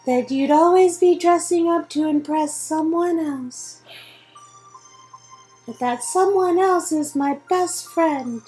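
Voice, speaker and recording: A woman voicing an awkward, earnest, nerdy young woman from a story game, reciting formally and haltingly. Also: high-pitched, darkish tone, faint music behind. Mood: awkward confession.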